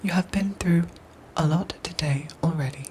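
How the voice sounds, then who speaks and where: Actress as caring robot soldier: hushed, breathy whisper, formal measured diction, comforting ASMR audio drama, with noticeable ambient noise behind. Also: female voice, sits low, close to an everyday voice. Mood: grave, gentle. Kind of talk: whispered ASMR roleplay acting.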